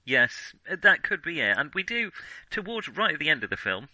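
A man's voice: fairly high pitch, very animated, a little fast, sharp tone, no room sound, low-quality recording. A man co-hosting a casual film discussion, hesitant and often correcting himself as he thinks aloud. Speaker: film discussion podcast co-host.

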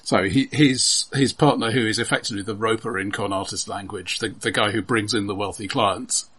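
A man co-hosting a film discussion podcast, explaining his points in a casual, measured, halting way. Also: fairly low pitch, a bit bright, breathy edge. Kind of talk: casual film analysis chat.

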